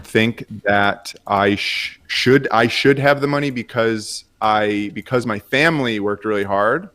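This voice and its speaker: A man improvising an entitled, flustered rich kid at a comedy commercial audition, halting and defensive. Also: fairly low pitch, a bit bright. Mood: defensive, smug. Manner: halting, defensive, self-important. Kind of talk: improvised comedy show banter.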